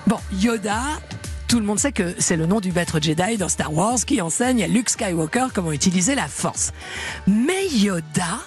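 A woman hosting a radio interview, playful and quick to laugh with her guest. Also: medium pitch, lively intonation, soft background music. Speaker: radio host.

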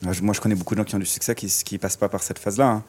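A man's voice: fairly low pitch, fairly even intonation, racing, a little mellow, breathy edge. A man guest in a radio interview, speaking reflectively with many hesitations and restarts, through a surgical mask. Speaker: musician guest. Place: outdoors in a city street. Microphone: wearing a surgical mask.

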